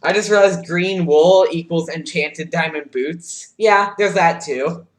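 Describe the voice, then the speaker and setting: A man streaming a game live, reacting excitably with yelps and shouts, keyboard clicking behind him. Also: fairly high pitch, a little slow, roomy sound. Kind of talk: live gameplay commentary. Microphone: desk mic with audible keyboard.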